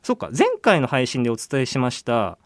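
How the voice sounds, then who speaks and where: Fast-talking, lively man co-hosting radio. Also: medium pitch, dry recording, studio quality. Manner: polite, deferential. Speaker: radio co-host. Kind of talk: casual chat. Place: studio.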